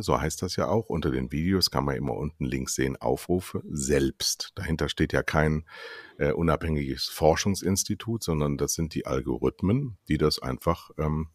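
A man's voice: extremely low pitch, dry recording, studio quality. A man hosting a casual interview, asking pointed and emphatic questions.

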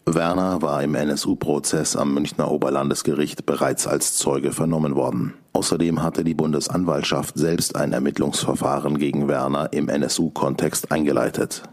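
News guy, extremely low voice, formal, sober, reading a bulletin. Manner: formal, matter-of-fact. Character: sober, impersonal male radio newsreader.

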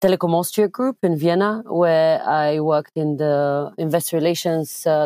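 A young woman from Bulgaria, speaking directly as a podcast guest, with frequent filler pauses. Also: low-pitched, warm tone, no room sound. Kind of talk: conversational interview.

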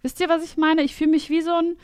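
A woman in her late twenties hosting a podcast, thinking out loud in casual chat with a reflective, self-mocking manner. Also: very high-pitched, little pitch movement, quick pace, no echo, studio quality. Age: late 20s. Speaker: podcast host.

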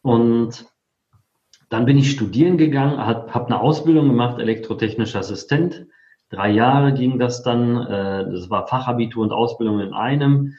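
A man of forty tells his own story casually as an interview guest. His voice sits at a medium pitch, and the recording is roomy and a little dull. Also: normal speed, a little mellow. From born in Poland, grew up in Germany. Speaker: interview guest, affiliate marketer. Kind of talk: casual autobiographical telling. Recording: roomy, slightly dull sound.

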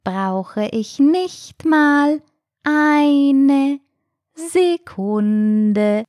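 Thin-voiced, very slow little snail. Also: female voice, high voice, animated, darkish tone, no room sound, studio quality, pitched above the performer's own voice, full character voice. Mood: proud, slow. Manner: very drawn-out, halting delivery. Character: timid, slow, proud young sea snail, thin voice.

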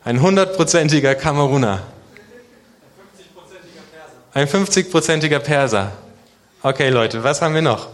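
A man's voice: on the high side, a little fast. A man from Germany preaching to a congregation in a casual, conversational way, often posing rhetorical questions. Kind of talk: conversational sermon addressing a congregation.

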